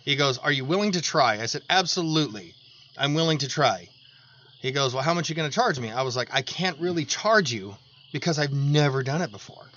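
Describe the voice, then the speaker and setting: A man's voice: a little high, lively intonation, brisk, a bit bright. An American man co-hosting a podcast, telling personal stories in an earnest, rambling way and repeating phrases for emphasis. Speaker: podcast co-host, tradesman. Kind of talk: casual chat and personal anecdotes. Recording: dull lo-fi audio with noticeable background noise.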